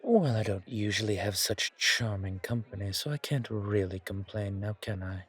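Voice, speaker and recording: A man playing a suave, centuries-old vampire in a horror ASMR roleplay, languid and genteel with quiet menace and a husky, breathy voice. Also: lowish voice, normal speed, some chatter behind. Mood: charming.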